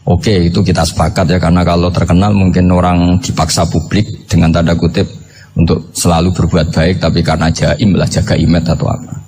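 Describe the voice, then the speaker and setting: A male Islamic preacher with a rather low voice and a Javanese Indonesian accent. He lectures informally and conversationally, turning to his listeners with rhetorical questions. The live recording has faint noise behind him. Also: little pitch movement, a little fast, darkish tone. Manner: mixes Arabic quotations and regional words into speech. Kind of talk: informal religious lecture to an audience.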